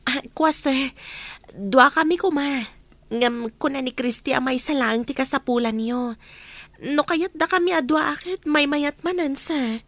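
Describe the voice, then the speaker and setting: A woman's voice: high voice, a little slow, fairly bright tone, no room sound. A woman playing a polite young woman from the province who is asking for work as a maid, often saying sir, in a radio comedy drama. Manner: deferential, says sir often.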